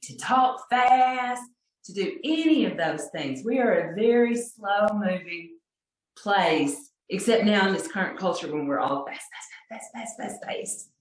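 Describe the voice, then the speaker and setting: A woman with a deep Texas accent telling a personal story in a chatty, self-deprecating way, laughing often, over a video call. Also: reverberant.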